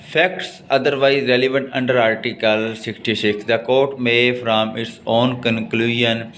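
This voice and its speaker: Pakistani man lecturing, slow, with background noise. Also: fairly low pitch, fairly bright tone. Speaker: law lecturer. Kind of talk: lecture, reading legal text and explaining it.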